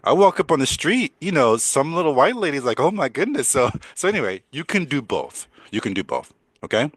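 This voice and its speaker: An older man with a deep voice teaching English in a chatty way, repeating words and laughing often. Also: sing-song, a little fast, slightly rough, no echo. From United States. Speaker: English coach. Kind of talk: casual teaching conversation.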